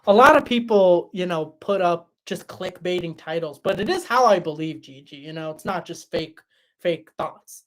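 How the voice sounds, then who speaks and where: A young man hosting a livestream and answering chat in a relaxed, chatty way, his voice tired after hours of talking. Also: high-pitched, normal speed, poor audio. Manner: relaxed, chatty, many fillers and restarts. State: voice tired after a long stream. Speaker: livestream host reading chat.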